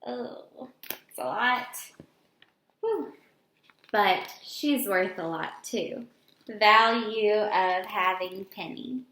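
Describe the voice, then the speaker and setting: A woman's voice: mid-range voice, very slow, long pauses, fairly bright tone. A woman teacher reading a picture book aloud to young children, expressively and with simple explanatory asides. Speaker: elementary school teacher. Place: indoor room with echo. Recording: echoey room.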